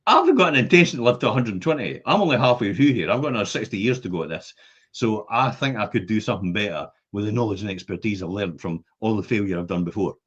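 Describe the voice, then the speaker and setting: A man's voice: lowish voice, animated, fast talker, fairly bright tone, husky. A man, chatting bluntly and jokily on a business podcast. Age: 50s. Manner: blunt, jokey, swears casually. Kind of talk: casual podcast chat. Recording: dull lo-fi sound.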